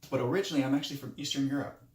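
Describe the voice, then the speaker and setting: Twenty-something guy from moldova, romanian first language, stumbling thru a class intro speech, mid pitch, echoey room. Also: fairly even intonation, a little hiss or hum. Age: 20s. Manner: hesitant, halting, many restarts. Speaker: student.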